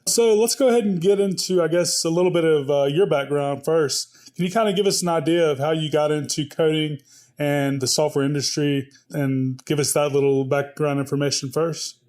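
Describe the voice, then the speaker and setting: A man's voice: fairly high pitch, normal speed, a little mellow. A man hosting a podcast in a conversational style, asking questions in an easygoing, informal way. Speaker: podcast host, interviewer.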